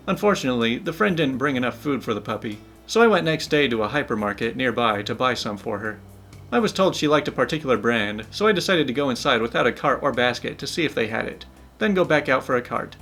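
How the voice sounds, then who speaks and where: A young man, a channel host, reading online stories aloud in a playful, animated way with his own commentary. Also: mid-range voice. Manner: animated, playful, laughs while telling. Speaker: channel host, story reader.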